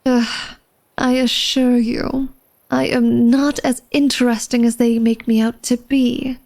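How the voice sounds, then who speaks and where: A woman's voice: fairly high pitch, little pitch movement, takes it slowly, creaky, darkish tone. A woman playing an elegant, secretive vampire lady of a manor in a flirtatious ASMR roleplay, speaking formally and coyly to the listener. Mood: teasing, dismissive. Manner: formal, genteel, coy, teasing speech addressed to the listener.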